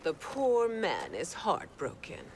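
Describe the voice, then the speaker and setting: A woman's voice: lowish voice, huge pitch swings, slow pace, background noise, full character voice. A woman playing a regal, sadistic empress in a video game, haughty, silky and condescending. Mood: amused cruelty. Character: regal, sadistic villainous empress, mocking and seductive. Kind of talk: dramatic video game cutscene acting.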